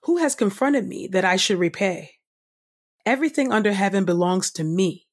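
A woman host reading scripture aloud from a script in a measured, solemn, clearly enunciated way. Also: medium pitch, expressive. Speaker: podcast host and scripture reader. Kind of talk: scripted audio Bible reading.

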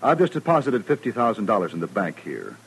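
A man's voice: fairly low pitch, huge pitch swings, fast talker, vocal fry, slightly rough, exaggerated voice. A man acting in a 1950s radio western as an earnest, self-assured former army officer, eager, insistent and formal in manner. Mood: formal, businesslike. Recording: vintage old-time radio recording.

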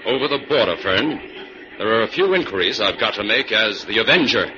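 Casting: man as calm, authoritative sleuth hero, measured delivery, old radio serial, dull hissy recording. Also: fairly low pitch, natural voice. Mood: businesslike. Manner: firm, measured, commanding. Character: calm, authoritative male detective hero, level-headed. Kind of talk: old-time radio drama acting.

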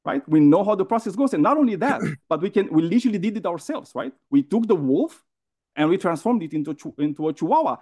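A man's voice: high voice, big pitch swings, fast talker, warm tone. A man from Romania with a Romanian accent, arguing in a debate, insistent and repetitive, often adding tag questions. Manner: insistent, repeats words, frequent tag questions. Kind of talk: live debate argument.

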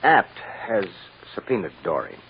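An actor playing a shrewd, blunt defense lawyer in an old radio drama, firm and persuasive. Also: male voice, fairly low pitch, wildly swooping pitch, normal speed, vocal fry, heavily acted. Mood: grave, gentle. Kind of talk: 1940s-50s radio drama acting. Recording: muffled, noisy old radio recording.

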